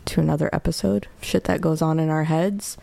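Woman, podcast host, calming voice, gravelly, dry sound. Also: low-pitched, brisk, dry recording. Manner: calm, soft-spoken. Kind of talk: casual chat.

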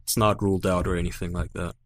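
Laid-back lower-voiced man in his twenties. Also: little pitch movement, fast. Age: 20s. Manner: laid-back, swears freely, laughs often. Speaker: podcast co-host. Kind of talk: casual chat.

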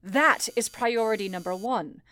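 A woman playing a calm, commanding telephone switchboard supervisor in an old-time radio play, with a deliberate, authoritative way of speaking. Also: medium pitch. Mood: firm, organizing. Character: calm, commanding female telephone operator supervisor in wartime-like crisis.

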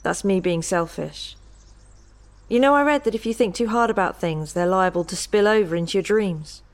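A woman's voice: medium pitch, moderate pace, lightly acted. A woman playing an eerie, entranced young woman in a horror audio story. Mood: calm, detached. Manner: flat monotone that rises to sing-song.

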